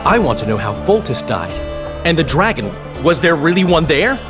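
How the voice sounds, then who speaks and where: Chatty dramatic bard, agitated, wildly varied pitch, eager, video game, music behind. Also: male voice, very animated, moderate pace. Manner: agitated, eager, swooping delivery. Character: excitable, dramatic male bard, nervous and chatty.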